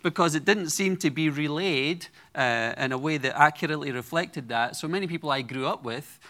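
A man's voice: fairly high pitch, a little fast, sharp tone. A Scottish man in his forties preaching a sermon to a congregation, earnest and personal with light humor. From Scotland. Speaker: guest preacher.

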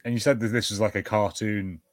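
A man's voice: fairly low pitch, fairly even intonation, brisk, dry recording, clean studio sound. A man co-hosting a casual watch-party commentary, chatting informally about a film. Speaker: podcast co-host.

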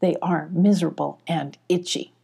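A woman's voice: lowish voice, fairly even intonation, moderate pace, vocal fry, mellow, breathy edge. A woman voicing a polite, apologetic, hesitant male beaver neighbor in a children's storybook. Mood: earnest. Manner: hesitant, courteous.

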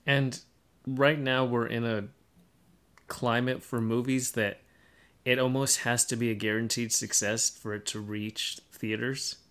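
A man speaks as a co-host in a casual podcast discussion. His voice is medium in pitch, and he explains things step by step, building up each point in a conversational way. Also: level tone, a little slow, a bit bright.

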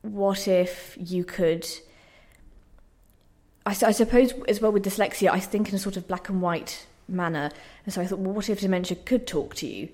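A woman's voice: medium pitch, moderate pace, darkish tone. A young woman giving reflective interview answers in a hesitant, self-deprecating, thoughtful way. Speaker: playwright being interviewed.

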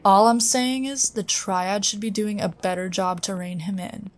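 An actress playing an earnest psionic agent in a sci-fi audio drama, speaking conversationally. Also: female voice, medium pitch, fairly even intonation, moderate pace, lightly acted. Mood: concerned, insistent. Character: earnest female psionic agent, loyal but wary.

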